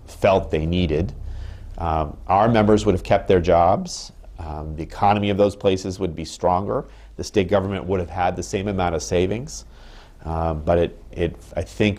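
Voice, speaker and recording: Man, 30s, union rep in an interview, deep voice, careful and halting delivery. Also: darkish tone. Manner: measured, thoughtful, with frequent ums and restarts. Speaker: union representative. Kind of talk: interview answers. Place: indoor office with wood paneling.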